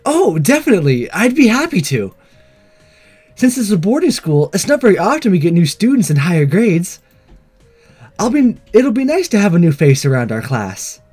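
A man plays a chirpy high school girl from a visual novel. The voice is pitched high and swings up and down with eager friendliness, over background music. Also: sing-song, moderate pace, a little mellow. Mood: cheerful, friendly. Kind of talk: visual novel character read aloud.

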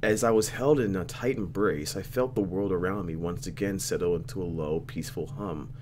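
A man's voice: deep voice, brisk, darkish tone, a little hiss or hum. A young man doing a let's play, reading a visual novel aloud with playful, reactive commentary.

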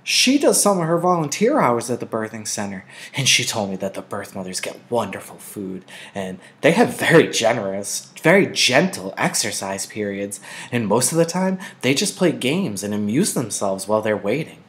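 A man's voice: on the low side, big pitch swings, normal speed, a bit bright. A man voicing a chatty, giggly little girl in an audiobook, with an eager sing-song manner. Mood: chatty, petulant. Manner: sing-song, sighing, petulant.

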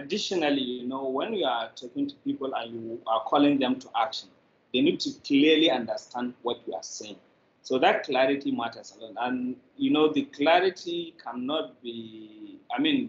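A man's voice: medium pitch, moderate pace. A middle-aged man with a Nigerian accent explaining a point as an interview guest. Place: indoor room, video call. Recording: echoey, dull, noisy video call.

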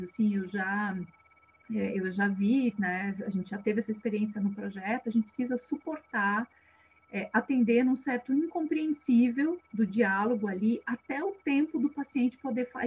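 A woman's voice: fairly high pitch, a little mellow. A woman, a psychologist reflecting aloud in a panel discussion, hesitant and full of tag questions, heard over a video call. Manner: reflective, many hesitations and "né" tags. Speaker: psychologist in a migration project. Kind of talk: thoughtful spoken reply in a panel discussion. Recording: dull lo-fi video call audio with faint hum.

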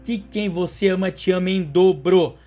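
A man in his thirties with a Brazilian Portuguese accent reads out a daily horoscope as a channel host. His voice sits a little high for a man, and his delivery is chummy and coaxing, full of pet names for the listener. Light music plays softly underneath. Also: normal speed. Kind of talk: daily horoscope reading and sales pitch. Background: light background music.

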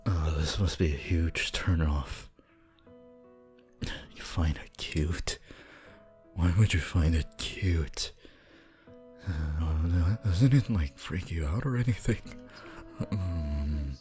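A shy young wolf student, acted by a man, speaks in a very low voice at an unhurried, halting pace. He is gentle, apologetic and stammers softly, as in an ASMR roleplay. Music plays clearly behind him. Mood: earnest, gentle. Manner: hesitant, stammering, soft-spoken.

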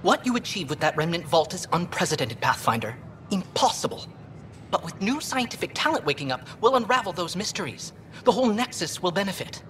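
A woman's voice: lowish voice, very animated, brisk, fairly bright tone, slight background noise. A woman playing an enthusiastic, formal scientist in a video game, effusive and hopeful. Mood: admiring.

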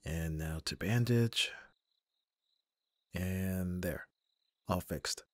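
A man playing an abrasive young bodyguard who is secretly soft, in an ASMR roleplay audio drama, with gruff, protective exasperation. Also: sits low, very slow, no echo, heavily acted. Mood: concerned, gentle.

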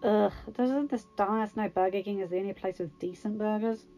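A woman's voice: on the high side, fast talker, a little mellow, soft background music, close to an everyday voice, poor audio. A woman voicing a sarcastic, blunt and hot-headed male superhero with emphatic delivery. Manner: sardonic, emphatic.

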